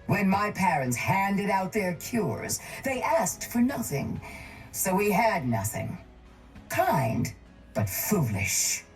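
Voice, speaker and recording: An actress voicing a cold, calculating, wealthy woman crime baron in a video game, smug, measured and condescending. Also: medium pitch, very animated, faint music behind, low-quality recording. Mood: bitter pride.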